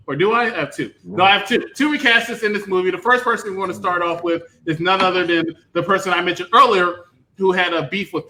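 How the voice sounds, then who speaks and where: A man's voice: on the high side, sharp tone, low-quality recording. A man hosting a casual panel debate about movies in a teasing, playfully combative way. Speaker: host of a panel show.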